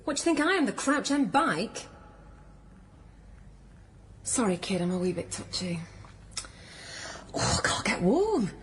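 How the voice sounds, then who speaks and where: A woman's voice: medium pitch, very animated, measured pace, long pauses, slight background noise. An actress playing a touchy, flippant, bookish woman in a naturalistic radio drama, speaking in a wry, guarded way. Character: touchy, flippant, bookish woman visiting her old friend.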